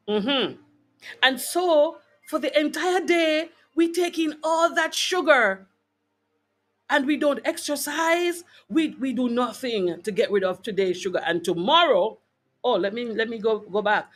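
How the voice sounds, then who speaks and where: A woman's voice: on the high side, a bit bright. A woman with a Jamaican accent hosting a wellness broadcast, talking informally and emphatically to her online audience and checking in with short tag questions. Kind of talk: informal motivational health talk to a live online audience.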